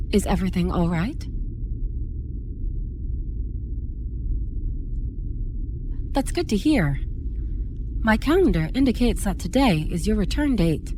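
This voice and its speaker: A woman playing a polite, devoted spaceship AI in a sci-fi horror audio roleplay, speaking in a measured, formal, soothing way with a quietly possessive edge. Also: mid-range voice, lightly acted. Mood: polite, efficient. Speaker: ship's AI assistant. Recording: clearly audible background noise.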